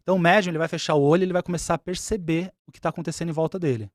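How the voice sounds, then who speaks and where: A man's voice: on the high side, fairly even intonation, very fast, dry recording, studio quality. A man speaking as a guest on a podcast, explaining his spiritual practice patiently and repeating key points. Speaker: umbanda spiritual leader and guest.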